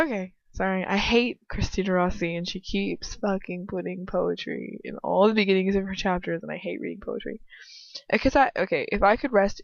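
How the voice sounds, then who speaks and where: A woman doing an amateur read-aloud of fan fiction in a casual, irreverent way, stumbling and breaking into chatty asides. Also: medium pitch. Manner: irreverent, giggly, swearing asides, stumbles while reading. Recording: muffled lo-fi home recording, roomy echo.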